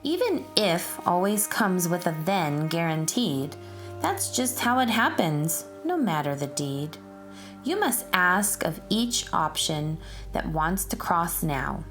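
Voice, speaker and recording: A woman narrating for children in an expressive, deliberate and instructive read-aloud style. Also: on the low side, faint music behind. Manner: deliberate, instructive, addressing young listeners.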